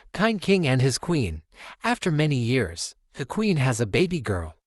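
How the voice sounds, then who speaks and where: A man reads a children's story aloud as a storytime host. His pitch moves up and down in a lively way, and the sound is dry and close. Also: dry recording. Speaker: storytime channel host reading children's books.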